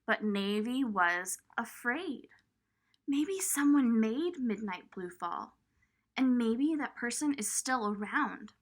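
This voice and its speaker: A woman reading a picture book aloud to young children, expressive and pausing to point things out. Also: on the high side. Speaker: children's storybook reader.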